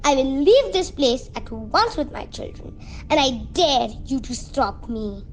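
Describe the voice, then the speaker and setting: A woman's voice: high-pitched, big pitch swings, a little slow, heavy background noise. A woman playing a bold, fussy mother with a chatty, insistent manner in an amateur radio play. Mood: grave, defiant. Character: Doris, outspoken, fussy, bold village mother.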